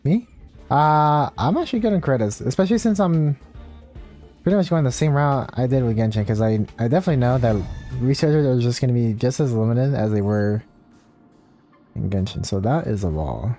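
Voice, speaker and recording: A man's voice: mid-range voice, expressive, a little fast, mellow. A man live streaming a video game, chatting casually and rambling as he thinks aloud. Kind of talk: casual gameplay livestream chat, reading game text aloud. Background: game music.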